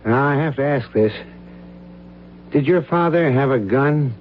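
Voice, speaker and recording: An actor playing a courteous, probing investigator in a radio drama, with a tactful, matter-of-fact manner. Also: male voice, mid-range voice, measured pace, close to an everyday voice. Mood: urgent. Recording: dull, noisy old radio broadcast.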